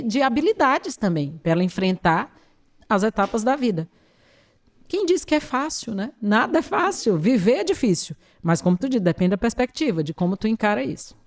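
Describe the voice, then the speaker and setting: A woman's voice: mid-range voice, a little mellow. Earnest woman explaining. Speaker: occupational therapist, guest. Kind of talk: explanatory interview answers.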